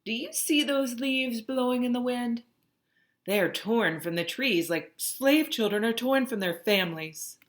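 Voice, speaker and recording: A woman's voice: a little high, unhurried, voice pushed up, natural voice. A woman reading a storybook, voicing an enslaved mother who gives earnest, solemn warnings.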